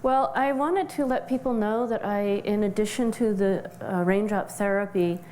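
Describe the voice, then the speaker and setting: A woman speaking at a middle pitch as a studio guest. She explains her health work steadily and informatively. A faint hum sits behind her voice. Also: dark tone. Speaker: wellness practitioner and guest. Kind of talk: studio interview.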